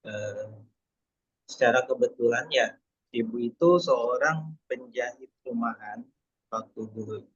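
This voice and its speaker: Husky man presenting a webinar. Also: medium pitch, measured pace, pauses often, dry recording, highs cut off. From Indonesia. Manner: hesitant, many fillers and restarts. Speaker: webinar speaker.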